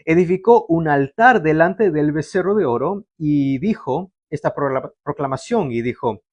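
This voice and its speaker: Young male pastor, Canadian-born, casual sermon delivery, slightly high voice, dry close sound. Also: animated, moderate pace, darkish tone, no echo, lo-fi sound. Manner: conversational, with frequent fillers and restarts. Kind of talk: sermon to a livestream audience.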